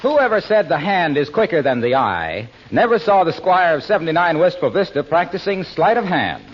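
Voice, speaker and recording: A male announcer on a 1940s radio show, reading the openings and scene introductions in a smooth, persuasive, formal way. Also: fairly high pitch, very animated, normal speed, a faint crowd. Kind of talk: scripted radio announcing and ad read. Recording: dull old radio recording, soft orchestra music.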